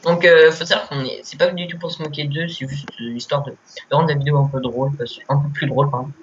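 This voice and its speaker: A young man who has a cold, joking around excitedly while playing an online game and stumbling over his words. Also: a little high, fast talker. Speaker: gamer and channel host. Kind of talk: casual gaming chat. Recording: slightly muffled home mic with faint hiss.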